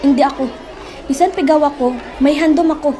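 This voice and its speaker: A woman's voice: sits high, steady tone, a little fast, mellow, close to an everyday voice. A woman with a Filipino accent playing an earnest, bullied schoolgirl in a student radio drama. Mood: defiant. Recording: faint crowd and noise behind.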